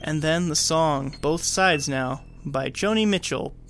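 A man with a fairly high voice co-hosts a movie podcast. He reads scenes aloud and discusses them informally, with a little room noise behind him. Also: measured pace, fairly bright tone. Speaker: podcast co-host. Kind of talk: dramatic scene reading and casual film discussion. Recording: faint background room noise.

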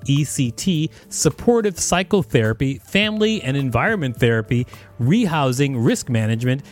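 A man co-hosting a podcast, reading an encyclopedia article aloud in a plain, steady way and joking between sections. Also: mid-range voice. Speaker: podcast co-host and reader.

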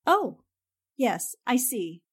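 A woman playing a polite, cautious newspaperwoman in a 1940s-style radio drama, measured and reserved. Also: fairly high pitch, sing-song, husky, heavily acted. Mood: courteous.

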